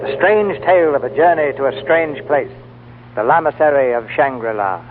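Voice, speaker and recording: Old radio announcer, heavy hiss. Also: male voice, medium pitch, normal speed. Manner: formal announcer delivery. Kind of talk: scripted narration. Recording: tinny old radio broadcast, loud hiss.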